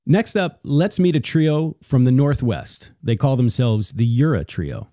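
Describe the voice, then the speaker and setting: A man hosting a radio show, interviewing a young guest in a gentle, encouraging way. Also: medium pitch, lively intonation, unhurried.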